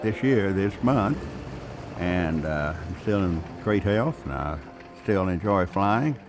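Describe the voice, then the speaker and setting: Casting: male, around eighty, low-pitched voice, folksy unscripted storyteller, plainspoken veteran flier. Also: animated, slow pace, music in the background. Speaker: veteran warbird pilot recalling his career.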